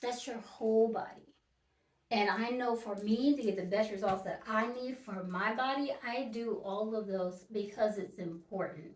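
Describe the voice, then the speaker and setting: A woman's voice: mid-range voice, normal speed. A woman in her early fifties talking casually and directly to her viewers, emphatic and repeating her points. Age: 50s. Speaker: vlogger. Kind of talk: casual talk to camera. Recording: echoey room, mic at a distance.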